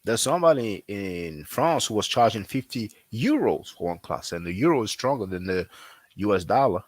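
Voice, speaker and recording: A man who is not a native English speaker, talking casually as a language teacher, repeating himself often and filling pauses with "you know.". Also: fairly low pitch, animated, dry recording. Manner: repetitive, conversational, frequent fillers. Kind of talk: casual promotional talk.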